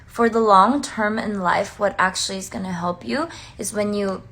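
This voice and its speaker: Woman, mid-pitched, chatty livestream talk, heartfelt, lots of "like.". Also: steady tone, moderate pace, fairly bright tone. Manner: chatty, heartfelt, many "like" fillers. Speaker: singer and dancer on a livestream. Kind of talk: casual livestream chat.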